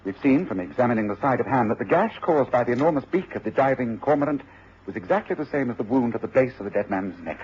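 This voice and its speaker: An assured, precise detective for radio drama; animated intonation, dulled old recording. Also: male voice, medium pitch, fast talker, slightly rough, highs cut off. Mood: assured, satisfied. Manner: authoritative, didactic, precise.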